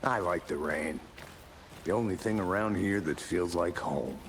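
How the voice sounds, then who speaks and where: A seasoned, middle-aged federal agent in a crime game. His voice is very deep. He is laconic and deadpan, speaking in short dry phrases over faint noise. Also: unhurried. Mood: dry, weary. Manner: deadpan, terse. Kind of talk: video game dialogue.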